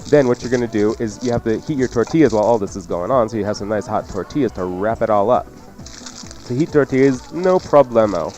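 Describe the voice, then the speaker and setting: A man's voice: fairly low pitch, fast, a little mellow. Playful man talking cooking over music. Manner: joking, teasing, campy. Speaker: cooking show host. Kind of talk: casual instructional cooking talk.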